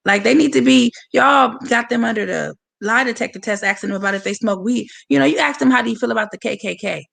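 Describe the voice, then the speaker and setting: A woman from San Francisco with a hoarse voice, a boxer speaking candidly and passionately as a guest on a livestreamed interview over a video call. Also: on the high side, quick pace, fairly bright tone. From San Francisco, USA. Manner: candid, passionate, talks straight to viewers.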